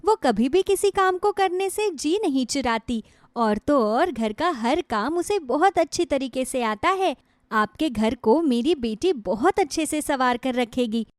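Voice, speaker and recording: A woman's voice: high voice, animated, unhurried, no echo. A reassuring, devoted middle-aged mother from a cartoon moral tale, performed by a woman. She talks in an earnest, soothing way. The recording is dry. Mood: proud. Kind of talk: Hindi moral story cartoon acting.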